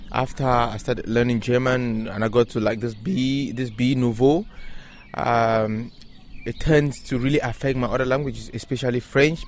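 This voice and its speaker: Young Cameroonian man, mid-pitched, outdoors. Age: young adult. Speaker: interviewee, German learner. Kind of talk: casual interview answers. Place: outdoors at a playground. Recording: faint outdoor background noise.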